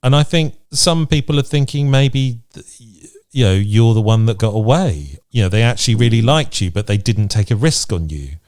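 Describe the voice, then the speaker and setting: A man's voice: medium pitch, animated, moderate pace, darkish tone, no room sound, clean studio sound. A man hosting a podcast, chatting casually in a reflective, encouraging way. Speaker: podcast host. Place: remote video chat recording.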